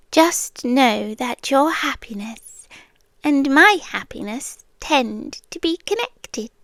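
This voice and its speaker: Woman playing a very slow, high-voiced possessive British sea siren. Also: no room sound, heavily acted. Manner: soft, breathy half-whisper, drawn-out pauses. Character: possessive yandere female sea creature, sweetly menacing captor. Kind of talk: ASMR roleplay, slow and intimate. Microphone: close ASMR microphone.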